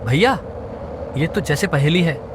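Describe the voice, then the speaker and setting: A man's voice: on the high side, lively intonation, measured pace. A man voicing eager, curious village children in a moral story. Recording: clearly noisy background.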